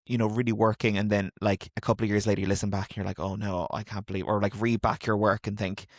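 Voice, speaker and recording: A man hosting an interview, relaxed and teasing, asking questions with easy fillers. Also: on the low side, fast, dry recording, studio quality. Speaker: interview podcast host. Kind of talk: interview conversation.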